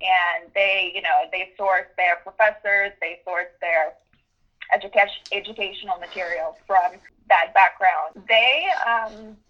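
A woman in her twenties, from Ukraine, answering interview questions with many pauses and restarts. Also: medium pitch, fairly even intonation, dull audio. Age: 20s. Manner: thoughtful, with many ums and restarts. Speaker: guest journalist.

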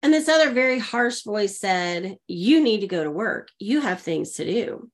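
Woman, middle-aged, from Oklahoma, lively storyteller, acts out quoted voices, interview guest. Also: medium pitch, normal speed. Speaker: podcast guest, leadership coach.

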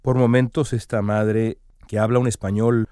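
A man's voice: fairly low pitch, little pitch movement, normal speed, warm tone, smooth, no echo, clean studio sound. A man delivering earnest, emphatic news commentary as the show's host.

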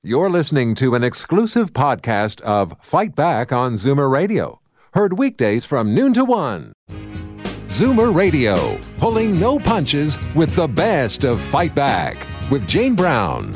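A man's voice: fairly high pitch, huge pitch swings, slow pace, over music. A man doing promotional announcements for a radio station.

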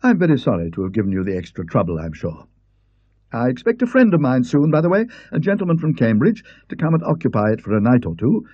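A prim middle-aged professor lectures in a precise, fussy manner, as a man voices him. His pitch leaps about with lively inflection, and the voice has a husky edge in a dull, lo-fi recording. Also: fast talker, no room sound. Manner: precise, fussy, slightly hesitant.

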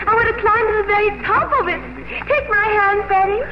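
Squealing, very high-pitched giddy girl. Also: fairly even intonation, brisk. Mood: giddy, playful. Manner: gushing baby talk, flighty, girlish. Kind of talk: 1950s radio drama acting. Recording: noisy muffled old radio broadcast.

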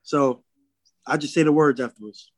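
A man's voice: on the high side, moderate pace, no room sound, studio quality. A man talking casually as an interview guest, using lots of slang and tags like "man" and "you know what I'm saying".

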